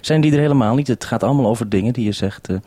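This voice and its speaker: A man's voice: medium pitch, very fast, mellow, breathy edge, dry recording. A man interviewing someone live on the radio in a conversational way, putting questions to a guest at a distance. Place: radio base talking to a remote guest.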